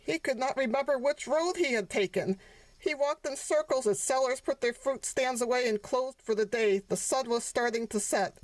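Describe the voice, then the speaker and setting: Casting: female storybook narrator, fairly high voice, close and dry, author-reading style. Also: normal speed, no echo, rough recording. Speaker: author reading her children's book.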